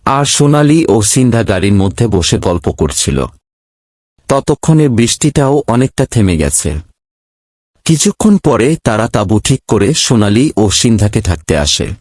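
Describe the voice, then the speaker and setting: Narrator for animated horror tale: man, very expressive read, dry close recording. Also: mid-range voice, a little slow, no room sound. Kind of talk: scripted ghost story narration.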